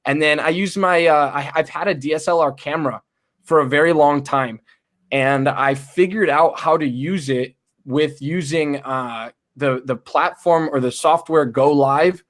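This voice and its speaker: A young man with a booming voice, speaking as a chiropractic student guest in a casual video-call interview, eager, with lots of fillers and restarts. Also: unhurried. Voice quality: booming loud voice. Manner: enthusiastic, many fillers and restarts. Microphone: desktop USB podcast mic.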